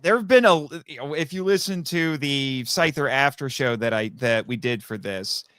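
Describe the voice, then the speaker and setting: A man's voice: medium pitch, normal speed, fairly bright tone, clean tone, no echo. A man talking casually as a podcast guest and audio drama writer, chatty and rambling, with frequent asides.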